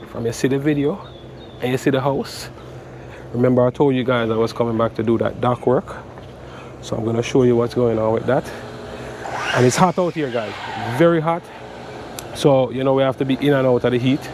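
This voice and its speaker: A man in his thirties with a slightly stuffy, congested voice walks through his work in a relaxed, step-by-step way, dropping "so" and "guys" often. He talks into a camera strapped to his chest outdoors, with clear outdoor noise, traffic and air conditioning hum behind him. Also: mid-range voice, normal speed, pauses often. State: stuffy from a cold. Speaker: electrician vlogger. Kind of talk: casual how-to vlog. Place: outdoors on a sunny waterfront dock. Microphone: chest-mounted action camera.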